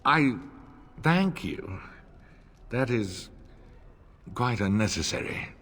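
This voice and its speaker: An actor playing a smug, middle-aged nobleman and war veteran in a video game, formal and sardonic, with a husky voice. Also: medium pitch, animated, slow pace, a bit bright. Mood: smooth, condescending. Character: older nobleman, old war comrade, smug, wry, insincerely courteous. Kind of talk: video game cutscene acting. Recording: long reverb, music underneath.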